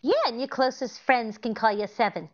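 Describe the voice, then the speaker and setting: A woman's voice: a little high, normal speed, lightly acted. A woman acting a scatterbrained, sweetly earnest wife in 1940s-style radio comedy. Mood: sweetly coaxing. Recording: slightly dull video-call audio.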